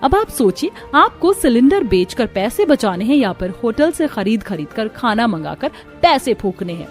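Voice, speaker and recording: A woman's voice: a little high, sing-song, moderate pace, faint music behind. A woman voicing an exasperated young daughter-in-law in a cartoon story, plaintive but firm as she complains. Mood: defiant. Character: exasperated, defiant young daughter-in-law overworked by stingy in-laws.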